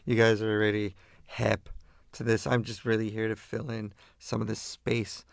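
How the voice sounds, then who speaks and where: A man's voice: fairly low pitch, flat delivery, normal speed, creaky, dry recording, studio quality. A man from East Los Angeles casually hosting a radio show between songs.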